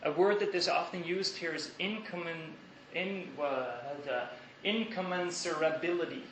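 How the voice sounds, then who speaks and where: A young Dutch man gives a thoughtful academic talk, pausing and restarting as he works through ideas. His voice is bright and fairly high. The sound is roomy, with a faint hiss from a lecture hall. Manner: thoughtful, measured, with hesitations and restarts.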